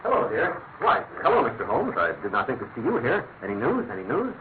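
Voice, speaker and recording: Excitable, quick-talking English statesman. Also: medium pitch. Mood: polite, anxious. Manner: proper, fussy, exclamatory. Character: excitable, worried English government minister and husband. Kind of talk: classic radio drama acting. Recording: thin phone-like radio sound, clear noise.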